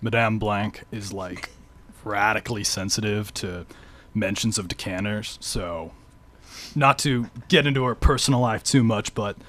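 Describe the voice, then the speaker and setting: A man's voice: on the low side, unhurried, fairly bright tone. A man in a student radio drama playing an airheaded young bellhop who drawls in valley-style slang. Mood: casual. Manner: spacey, drawling, says "like" constantly.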